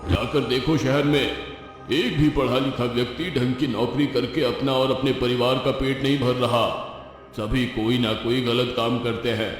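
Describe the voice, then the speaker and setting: A man's voice: mid-range voice, lightly acted. Man acting a scolding village headman in reverb. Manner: scolding, domineering. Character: stern, dismissive village headman opposed to schooling. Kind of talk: Hindi moral-story cartoon acting. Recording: echoey hall reverb, faint music.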